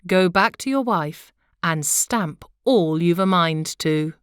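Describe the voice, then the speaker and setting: A woman voicing a great, kindly king in a storybook, with a measured, authoritative manner. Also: lowish voice, lively intonation, clean tone, no echo, studio quality. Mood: commanding.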